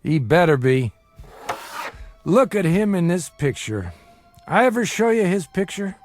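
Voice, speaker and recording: An actor playing a boozy middle-aged small-time bookie in an audio drama, a glib, self-deprecating charmer and doting father given to theatrical flattery. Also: sits high, measured pace, soft background music. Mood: wistful, proud.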